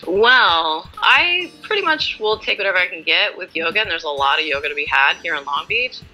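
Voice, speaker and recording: A woman's voice: lowish voice, lively intonation, a little fast, sharp tone, soft background music, poor audio. A woman in her forties answering as an interview guest in a casual, chatty, informal and self-deprecating way. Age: 40s.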